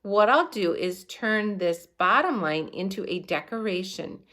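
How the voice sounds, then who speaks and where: A woman's voice: mid-range voice, lively intonation, a little slow, pushed and bright. A woman voicing a bossy, indignant schoolgirl from a children's storybook, protesting dramatically. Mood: grudging.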